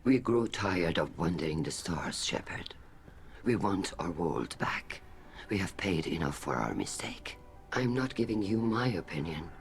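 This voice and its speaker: An actor playing an older alien admiral in a video game, grave, guarded and measured. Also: male voice, sits low, sing-song, heavily acted. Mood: guarded, resolute. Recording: clear background noise.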